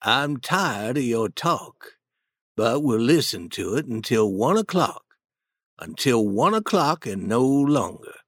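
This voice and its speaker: A man voicing a calm, well-dressed man in his fifties who speaks slowly and quietly with cold menace. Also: mid-range voice, dry recording, clean studio sound, pitched below the performer's own voice, full character voice. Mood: cold threat.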